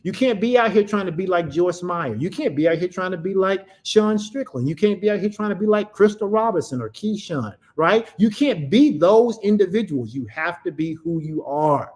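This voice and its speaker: A middle-aged male preacher with a fairly high-pitched voice delivers a motivational message. He talks emphatically, repeating his points in a rhythmic way and answering his own questions. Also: expressive, moderate pace, hardly any pauses, darkish tone. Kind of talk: motivational sermon.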